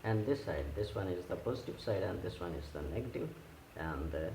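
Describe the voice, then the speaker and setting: A man who speaks English as a second language, giving hands-on repair instructions in a halting, simple step-by-step way. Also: sits low, animated, darkish tone. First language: not English. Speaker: repair tutorial host. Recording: echoey room with clear background noise.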